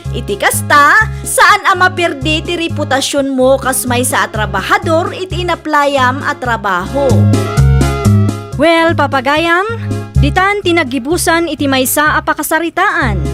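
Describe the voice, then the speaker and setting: Very high-pitched woman narrator over music. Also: lively intonation, measured pace. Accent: Ilocano Filipino. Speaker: show narrator. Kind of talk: scripted moral and sign-off narration.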